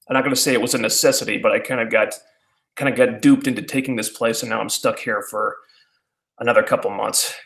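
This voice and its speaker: A man, chatting casually with his viewers in a halting way, full of fillers and restarts. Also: medium pitch, vocal fry, fairly bright tone, echoey room. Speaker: YouTuber answering viewer questions. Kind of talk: casual rambling chat.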